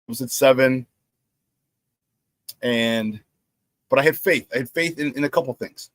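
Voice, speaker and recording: Brief: middle-aged male sports talk host, hoarse, dry close mic, animated and repetitive. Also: mid-range voice, unhurried, no echo. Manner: animated storyteller who repeats phrases and laughs at his own stories. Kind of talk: casual sports talk monologue.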